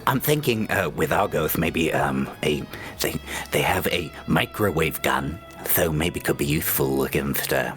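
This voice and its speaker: A man playing a tabletop game, talking plans with a teammate in halting, self-deprecating banter. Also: a bass voice, animated, a bit bright, faint music behind. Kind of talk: in-character casual banter.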